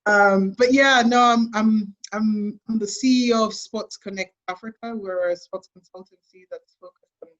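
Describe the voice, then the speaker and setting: A woman's voice: mid-range voice, fairly even intonation, normal speed, few pauses, dull audio, soft background music. A young adult Kenyan woman with a Kenyan accent, a guest talking enthusiastically in a casual interview, with frequent laughs and restarts. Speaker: guest, sports consultancy CEO.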